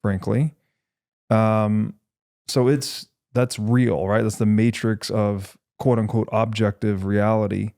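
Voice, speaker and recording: A man hosting a podcast, explaining ideas conversationally in a thoughtful, measured and halting way as he reasons aloud. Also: lowish voice, steady tone, gravelly, darkish tone. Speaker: podcast host.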